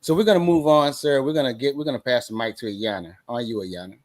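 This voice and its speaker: Brief: male talk-show host, casual, mid pitch, dry close sound. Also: fast, no room sound. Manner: repeats words, "awesome, awesome". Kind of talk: casual interview hosting.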